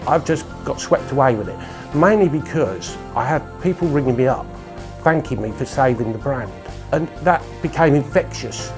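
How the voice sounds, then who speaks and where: An older British man speaking earnestly and emphatically in a promotional interview as a company owner. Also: medium pitch. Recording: music behind the voice. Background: faint music.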